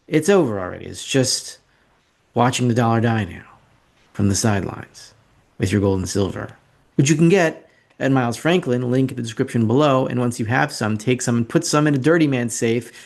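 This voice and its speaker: Guy doing financial slide commentary, mid pitched voice, sardonic and opinionated, repeats himself to make a point. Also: a little fast, gravelly. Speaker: financial commentator and show host.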